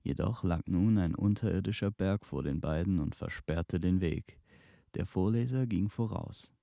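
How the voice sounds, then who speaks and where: A man narrating a fantasy tale in a suspenseful, measured storytelling manner. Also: low-pitched, vocal fry, warm tone, dry recording. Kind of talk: dramatic scripted fantasy narration.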